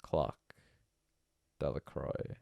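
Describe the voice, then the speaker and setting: A man's voice: very slow, dry recording, dull audio. A man voicing a sober, plain-spoken village official who formally addresses a gathering in a storybook reading. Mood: formal announcing. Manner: folksy, sober, formal calling out.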